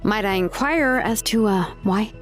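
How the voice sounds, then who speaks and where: A woman's voice: mid-range voice, a little slow, faint music behind, lightly acted. A woman playing a drowsy, laid-back young craftswoman in a video game, languid and dry. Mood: dry, grumbling.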